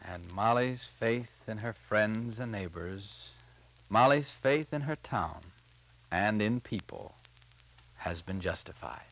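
A man's voice: fairly low pitch, expressive, slow pace, fairly bright tone, poor audio. An American man announcing on 1940s radio, with a polished, emphatic sales delivery, recorded on a transcription disc.

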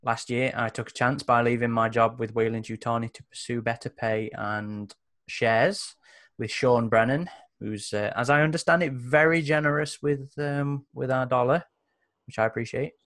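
A man's voice: mid-range voice, fairly even intonation, normal speed, fairly bright tone. A man in his thirties chatting casually as a host and player in a tabletop roleplaying game, on an indoor call. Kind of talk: casual chat. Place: indoor room on a video call.

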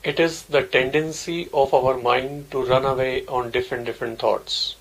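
A man giving guided meditation instructions as a teacher, in measured, simple, repetitive phrases. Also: mid-range voice, steady tone, fairly bright tone, slightly rough, poor audio.

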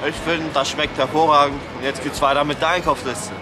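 A man's voice: fairly high pitch, level tone, fairly quick, rough recording. A young man giving casual vlog commentary in German-style everyday chat. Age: young adult. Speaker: video host. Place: out on city streets and inside a supermarket. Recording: music running under the voice. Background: music, street sounds.

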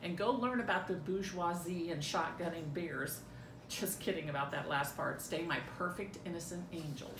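Middle-aged woman reading lines, lowish voice, roomy. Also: normal speed. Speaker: amateur reader in a family script reading. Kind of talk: dramatic script read-through, playing a mother. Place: home room indoors. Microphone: camera a few feet away. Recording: roomy echo, clear low rumbling noise.

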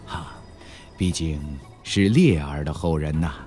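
A man voicing a weary, ageless immortal master in an audiobook, slow, halting and wistful. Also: a bass voice, a little mellow, slightly rough, soft background music, voice pushed down, exaggerated voice.

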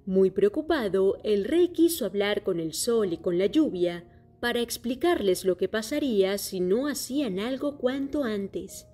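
A woman reading a children's story aloud in a clear, steady storytelling manner. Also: mid-range voice, unhurried. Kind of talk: scripted children's story narration. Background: soft music.

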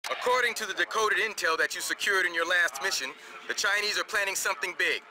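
An actor playing a blunt, hard-bitten military officer giving a businesslike briefing in a video game. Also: male voice, high voice, fast, sharp tone, low-quality recording. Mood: urgent, businesslike. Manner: blunt, businesslike, full of jargon. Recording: clear outdoor vehicle noise behind.

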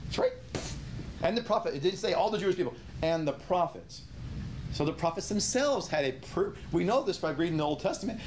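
A man's voice: sits high, a little hiss or hum. A middle-aged man teaching a Bible study in a small room, emphatic, firing rhetorical questions and restarting his sentences. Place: small indoor room with whiteboard.

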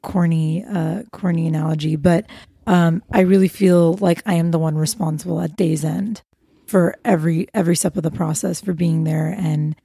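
A woman's voice: fairly low pitch, fairly even intonation, moderate pace, gravelly, warm tone, slightly rough, no echo, studio quality. A woman film producer from Los Angeles, chatting eagerly as a podcast guest, with many fillers and restarts. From Los Angeles, USA. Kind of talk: casual interview chat.

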